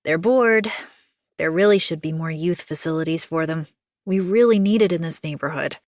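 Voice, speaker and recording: A woman's voice: low voice, moderate pace, darkish tone, no room sound, clean studio sound, lightly acted. A woman reading aloud and voicing a friendly, helpful woman acquaintance who talks in an upbeat, soothing way. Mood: reassuring.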